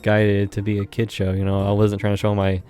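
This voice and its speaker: A young man from Indiana with a lowish, flat voice co-hosts a casual podcast, rambling loosely and filling his sentences. Faint music sits quietly underneath. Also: fairly quick. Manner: rambling, lots of "like" fillers. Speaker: podcast co-host. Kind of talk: casual chat.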